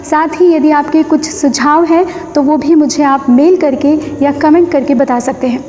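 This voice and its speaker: A woman's voice: high voice, steady tone, normal speed, darkish tone. A woman giving an instructional talk as a channel host, explaining and repeating key points for emphasis. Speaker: host of a public speaking tips channel. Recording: long echoing room reverb.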